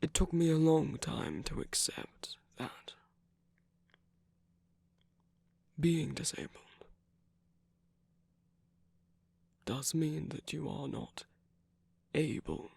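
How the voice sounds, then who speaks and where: A man playing a gentle, wry villain who uses magic, speaking softly and haltingly in an intimate ASMR roleplay with a breathy, husky voice. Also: on the high side, animated, no room sound, exaggerated voice. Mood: earnest, wry. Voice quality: breathy, husky, slightly creaky. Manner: measured, halting, hushed delivery with pauses. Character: gentle, wry villain magic user comforting a loved one.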